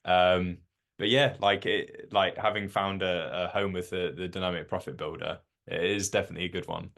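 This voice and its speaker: A man's voice: deep voice, fairly even intonation, normal speed, vocal fry, fairly bright tone. A man hosting a casual interview, asking long rambling questions filled with "like". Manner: rambling questions full of "like" and restarts. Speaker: interview host.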